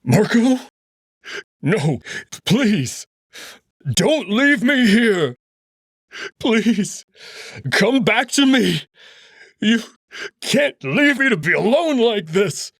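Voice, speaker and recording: A man's voice: high-pitched, sing-song, extremely slow, pauses often, no room sound, studio quality, voice pushed up, exaggerated voice. A man voicing a grieving young male wolf who pleads desperately with a dying loved one, over music. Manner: pleading, choked with grief.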